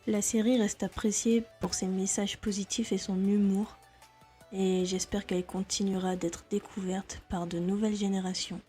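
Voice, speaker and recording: A woman presenting in a measured, explanatory scripted narration. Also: medium pitch, flat delivery, dark tone. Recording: music under the voice. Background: background music.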